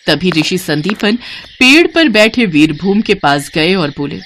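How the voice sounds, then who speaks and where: A woman narrator reading a scripted bedtime tale in an expressive, dramatic storytelling style. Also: on the low side, unhurried, slight background noise.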